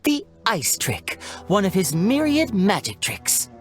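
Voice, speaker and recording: A woman's voice: medium pitch, wildly swooping pitch, takes it slowly. A woman playing a playful young stage magician in a video game, charming, teasing and showy in delivery. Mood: breezy, playful. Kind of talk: scripted video game character dialogue. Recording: music behind the voice.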